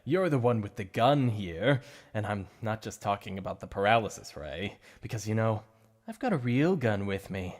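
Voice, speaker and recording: A man voicing a shy, obsessive male supervillain in an ASMR roleplay, soft-spoken and stammering over his slips of the tongue. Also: fairly low pitch, expressive, normal speed. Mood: softly coaxing.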